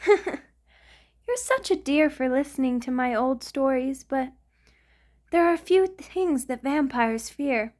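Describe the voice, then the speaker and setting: A woman's voice: high voice, animated, takes it slowly, pauses often, a little mellow. A woman voicing a centuries-old vampire lover in an intimate ASMR roleplay, doting and anxious, with a hesitant, sighing delivery. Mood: fond, reminiscing. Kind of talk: ASMR romantic roleplay monologue.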